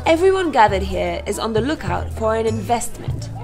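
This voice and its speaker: A woman's voice: on the high side, very animated, normal speed. A woman in her twenties narrating a travel vlog in a scripted voice-over. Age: 20s. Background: music, crowd.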